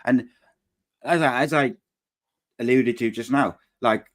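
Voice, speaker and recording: A man hosting a casual football podcast, talking in a relaxed, hesitant way that often trails off quietly. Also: mid-range voice, a little slow. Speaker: podcast host.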